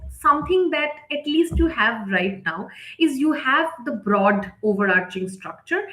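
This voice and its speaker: A woman's voice: high-pitched, fairly bright tone. A woman presenting an instructional live session, explaining step by step in a conversational way and often checking in with short tag questions. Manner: conversational, often tags sentences with "right?" or "okay?". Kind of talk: instructional webinar talk, explanatory. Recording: echoey, roomy sound.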